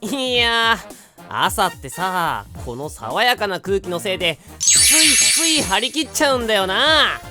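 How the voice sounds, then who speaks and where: A boyish, breezy voice for an anime schoolboy pal. The delivery is hearty and very animated, with drawn-out, unhurried phrasing. Loud music runs underneath. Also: female voice, medium pitch. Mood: cheerful. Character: hearty, high-spirited teenage schoolboy pal. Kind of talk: Japanese anime-style voice drama acting.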